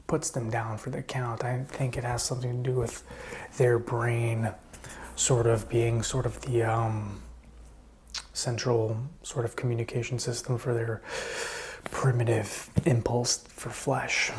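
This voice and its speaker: A man playing a calm, helpful survivor in a zombie apocalypse ASMR roleplay, whispering and speaking softly in a hesitant, intimate, musing way. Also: medium pitch, measured pace, slight background noise, close to an everyday voice. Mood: hushed, explanatory.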